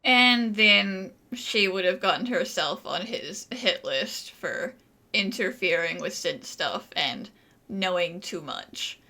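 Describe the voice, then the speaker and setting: A woman's voice: mid-range voice, expressive, takes it slowly, pushed and bright, exaggerated voice. A woman playing a serious young woman in a video game drama. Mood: grave, probing.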